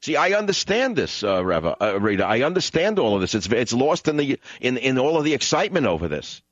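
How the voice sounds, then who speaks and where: A man's voice: mid-range voice, big pitch swings, fairly quick, no echo. A man hosting a call-in radio talk show, emphatic and combative, repeating lines for effect. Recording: dull radio broadcast sound.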